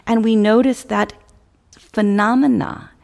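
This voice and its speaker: Slow, creaky-voiced woman guiding meditation. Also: fairly high pitch, fairly even intonation, darkish tone. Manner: measured, contemplative, with long pauses between short phrases. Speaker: meditation teacher. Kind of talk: guided meditation instruction, spiritual teaching.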